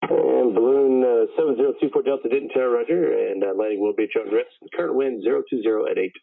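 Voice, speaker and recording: Man, tower controller, very fast talker, routine procedural phrasing, tinny narrow radio transmission. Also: mid-range voice, vocal fry. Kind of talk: air traffic control phraseology. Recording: tinny, phone-like radio channel.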